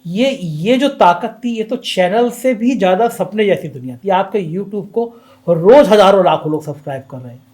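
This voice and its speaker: A man's voice: high voice, expressive, moderate pace, few pauses, darkish tone. A man hosting an interview, asking probing questions in an admiring tone. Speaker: interviewer and channel host.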